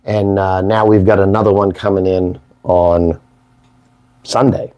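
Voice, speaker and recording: A hoarse man hosts a casual morning show, rambling and joking in a folksy way. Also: deep voice, steady tone, a little slow, dark tone. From Maine, United States. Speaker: host.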